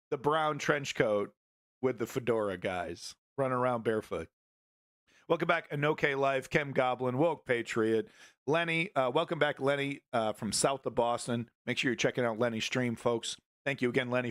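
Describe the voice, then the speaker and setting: A man's voice: mid-range voice, moderate pace, a bit bright, dry recording, clean studio sound. A man hosting a live stream, greeting chat viewers and making mocking, sarcastic asides. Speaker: host. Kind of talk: casual live-stream commentary.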